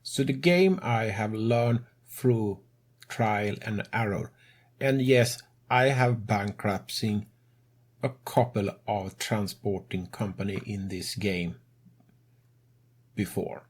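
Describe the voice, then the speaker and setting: A man speaking English as a second language, narrating a game tutorial as host in a measured way with non-native grammar. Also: lowish voice, slightly rough. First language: not English.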